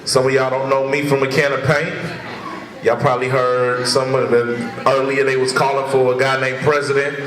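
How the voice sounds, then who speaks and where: A man's voice: medium pitch, flat delivery, normal speed, fairly bright tone. A man from Richmond, California, a community youth worker, speaks casually and conversationally to an audience, with plenty of fillers and asides. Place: large indoor hall with an audience. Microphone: far camera. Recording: echoey hall from far off with crowd.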